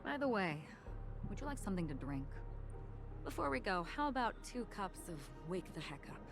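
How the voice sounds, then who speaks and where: An actress voicing a composed, wry, confiding woman in a video game, calm and deliberate. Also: medium pitch, creaky, over music. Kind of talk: scripted video game acting.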